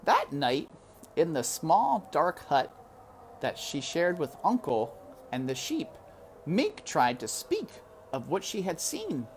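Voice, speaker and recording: Low-pitched, very animated woman storyteller. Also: slow. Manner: animated, addresses listeners as friends. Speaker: read-aloud storyteller. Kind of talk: expressive children's book narration with casual asides. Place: outdoors in wind. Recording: windy outdoor ambience noise.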